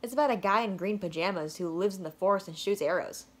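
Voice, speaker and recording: A woman's voice: medium pitch, quick pace, breathy edge, natural voice. A woman voicing a confident, offhand schoolboy classmate in a children's storybook read-aloud. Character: matter-of-fact schoolboy classmate, proud of his shortcut.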